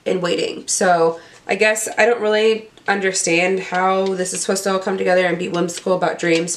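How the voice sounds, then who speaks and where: A young woman with a cold-roughened, hoarse voice, chatting casually as she unboxes beauty products and stumbles over product names. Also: lowish voice. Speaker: beauty vlogger.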